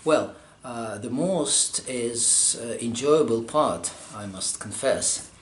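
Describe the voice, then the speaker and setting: Slow, halting man in an echoey room. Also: medium pitch, expressive. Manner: halting, pausing often to find words. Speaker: scholar interviewed about manuscript studies. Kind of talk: interview answers. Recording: roomy echo, faint background hum.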